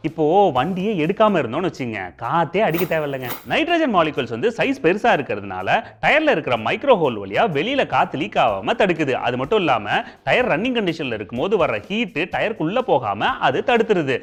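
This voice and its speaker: A male TV host with a Tamil Nadu accent and a fairly high voice. His pitch moves around in a very lively way as he explains facts in a conversational style, talking straight to the viewer. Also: a little fast. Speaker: TV show host. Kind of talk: informational presenting in colloquial Tamil with comic asides.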